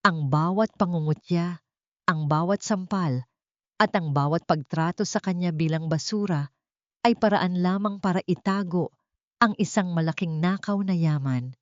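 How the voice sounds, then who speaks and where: A woman's voice: fairly low pitch, moderate pace, dry recording, highs cut off. A woman reading a dramatic story as a scripted audiobook narration.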